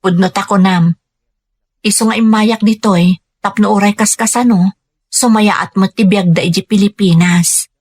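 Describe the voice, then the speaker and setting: A young Filipino daughter, played by a woman in a radio drama, earnest and patient. Her mid-pitched voice is a little husky, and she talks conversationally, like someone working hard for her family. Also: fairly even intonation, moderate pace, darkish tone, close to an everyday voice. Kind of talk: Ilocano radio drama acting.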